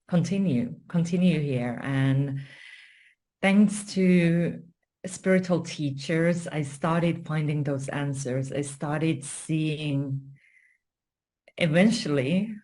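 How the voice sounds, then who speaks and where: Woman, Finnish accent, low voice, slow pace, deliberate pauses, personal storytelling, interview guest. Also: a little mellow. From Finland. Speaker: guest, story coach and spiritual mentor.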